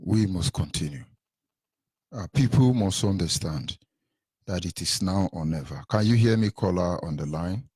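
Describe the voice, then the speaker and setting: A man's voice: sits low, lively intonation, normal speed, darkish tone, slightly rough, dry recording, studio quality. A man hosting a radio phone-in, briefly moderating and prompting callers.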